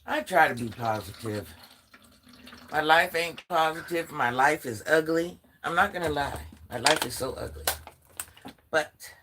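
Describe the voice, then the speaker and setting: An older woman with a deep voice, chatting casually on a livestream and talking straight to her viewers. She sounds stuffy from allergies. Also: lively intonation, measured pace, a bit bright, a little hiss or hum. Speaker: livestream host.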